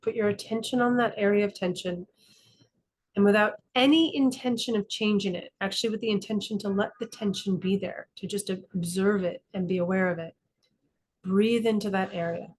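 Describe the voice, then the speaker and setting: A woman leading a guided meditation as a teacher, calm and instructive, speaking in slow, deliberate phrases with frequent pauses. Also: medium pitch, a little hiss or hum. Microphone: video call class.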